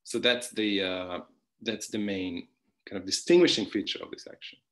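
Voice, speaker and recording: A man's voice: fairly low pitch, steady tone, moderate pace, fairly bright tone. A man whose first language is Hungarian, giving an online philosophy conference talk with many restarts, fillers and wry asides. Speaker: philosophy lecturer.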